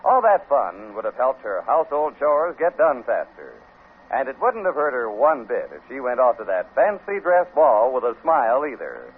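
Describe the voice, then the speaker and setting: Animated radio announcer man, tinny sound. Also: medium pitch, moderate pace, slightly rough. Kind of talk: 1950s radio commercial read. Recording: tinny vintage radio recording.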